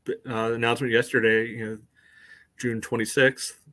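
A man's voice: medium pitch, flat delivery, moderate pace, fairly bright tone. A man in his thirties giving casual soccer fan commentary as a podcast co-host on a video call. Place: indoor room at home, on a video call.

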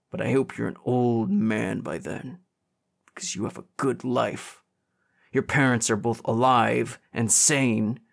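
A man's voice: medium pitch, creaky. A man voicing an angry, hardened teenage boy, bitter and wounded, with a growling, demanding delivery in an audiobook.

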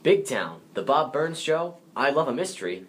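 A man's voice: medium pitch, very animated, normal speed. An American man presenting a scripted biography as its narrator. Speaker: presenter, grandson of the subject.

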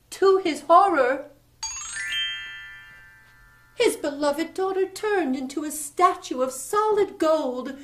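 A woman's voice: high-pitched, a little hiss or hum. A woman narrating a fairy tale expressively, as a storyteller. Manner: expressive, animated reading.

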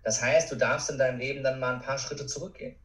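A man's voice: mid-range voice, fairly even intonation, fast, fairly bright tone. Man speaking earnestly in a roomy space. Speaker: interview guest, motivational speaker and coach. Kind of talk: reflective personal account in a podcast interview. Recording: roomy, echoing sound.

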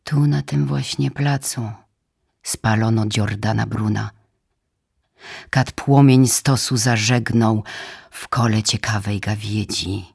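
Slow, husky woman reciting poetry. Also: a bass voice, steady tone, a little mellow. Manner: slow, deliberate phrasing in short measured lines. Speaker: poetry reader. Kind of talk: solemn dramatic poetry recitation, audiobook. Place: radio theatre studio.

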